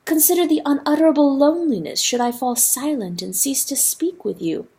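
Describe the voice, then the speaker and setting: A woman voicing a talking river that speaks mildly, with calm, gently mocking wisdom. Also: high voice, pitched above the performer's own voice. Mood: soothing. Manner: soft, measured, gently mocking. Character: calm, wise talking river, mild and knowing. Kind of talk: audiobook character voice.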